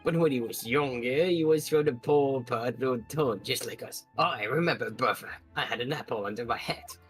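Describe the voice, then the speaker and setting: Small-time crook acted by a man, mid-pitched, rambling and slangy, nostalgic game witness. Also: normal speed, soft background music, natural voice.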